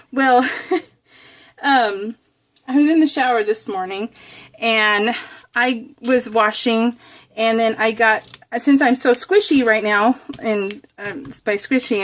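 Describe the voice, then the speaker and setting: A woman with a fairly high voice narrates her crafting in real time. She is chatty and informal, giggly and quick to poke fun at herself, and her talk rambles along loosely. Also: a bit bright. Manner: giggly, self-deprecating, rambling. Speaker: craft vlogger.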